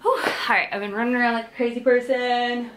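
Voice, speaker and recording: A woman's voice: a little high, fairly even intonation, fast, a bit bright, rough recording. A young woman vlogging at home, chatting casually and rambling with lots of "like" and "um", filmed by a camera on a tripod. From western New York. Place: kitchen and bedroom at home.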